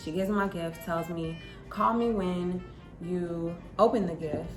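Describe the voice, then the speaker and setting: Young woman, casual vlog storytelling, conversational, somewhat low-pitched, roomy sound, background music. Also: fairly even intonation, unhurried. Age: young adult. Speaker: vlogger.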